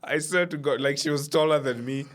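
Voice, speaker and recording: A man's voice: a little high, a little hiss or hum. A young Kenyan man co-hosting a comedy podcast in casual chat, easily amused and poking fun at himself. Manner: self-deprecating, quick to laugh. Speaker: podcast co-host.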